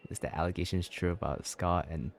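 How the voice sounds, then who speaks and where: Deep, gravelly-voiced male gamer. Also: steady tone, fairly quick. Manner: slangy, offhand. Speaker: gaming video creator. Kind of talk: casual playthrough commentary.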